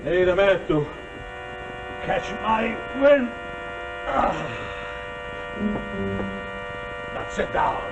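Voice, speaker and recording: An actor playing a domineering, boastful self-made general in a 1940s television suspense drama, with a gloating, halting delivery. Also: male voice, sits high, sing-song, highs cut off, over music, full character voice. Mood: boastful, winded. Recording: noisy, humming 1949 television soundtrack.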